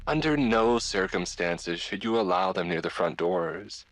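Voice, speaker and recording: A man voicing a cheerful 1950s hotel training-film host with a transatlantic accent and a practiced, upbeat, oddly pausing delivery. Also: sits low, expressive, fairly bright tone, dry recording, low-quality recording. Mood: pleasantly firm. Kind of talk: 1950s instructional film presenting.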